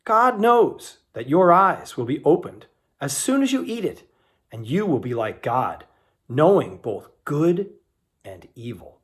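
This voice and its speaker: Male reader as sly serpent, persuasive, drawn-out phrasing, lively pitch, scripture storytelling. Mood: sly, tempting. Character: shrewd, tempting serpent in a Bible story.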